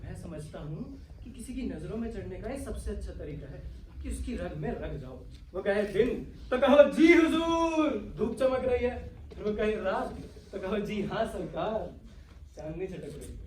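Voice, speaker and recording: An actor playing an ardent young servant in love in a stage play, earnest and declamatory with florid romantic delivery. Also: male voice, sits high, big pitch swings, normal speed, a little mellow. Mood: scheming, animated. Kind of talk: Hindi-Urdu stage play acting. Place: stage of a theatre hall. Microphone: far from the actors. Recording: echoey hall, distant mic, faint noise.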